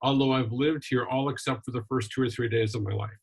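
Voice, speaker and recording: Older man telling his story, relaxed. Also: mid-range voice, fast, husky, poor audio. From Wisconsin, USA. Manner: relaxed storytelling with frequent ums and restarts. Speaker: interview guest, retired minister and journalist. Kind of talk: casual conversational interview.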